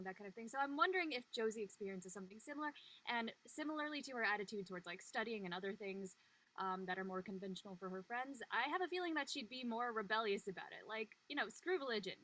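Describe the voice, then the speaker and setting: A woman's voice: on the high side, animated, fast talker, sharp tone, rough recording. A woman, an actor on a live show, chatting casually about her character with lots of "like" and "you know".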